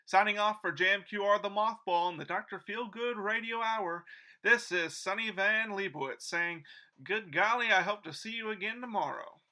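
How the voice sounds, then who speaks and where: A man's voice: sits high, moderate pace, bright, forceful tone, poor audio. A man playing an upbeat, showy host of an old-time radio variety show, speaking in announcer style. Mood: cheery sign-off.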